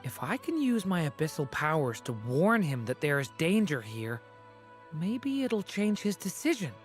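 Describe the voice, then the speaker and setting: An actor voicing an earnest, determined young adventurer in a video game, with an expressive delivery. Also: mid-range voice, normal speed, natural voice. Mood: hesitant resolve. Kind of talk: dramatic video game voice acting. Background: faint game music.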